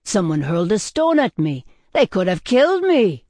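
A man's voice: fairly high pitch, expressive, a little slow, a little mellow, dry recording. A man voicing a cheeky, tipsy drunkard who is indignant and argumentative, in an audiobook style. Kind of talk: audiobook character acting.

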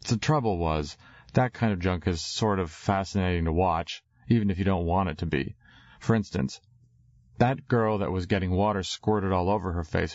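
Very deep-voiced male narrator, slangy. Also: no echo, dull audio. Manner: slangy, wry first-person storytelling. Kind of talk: audiobook narration.

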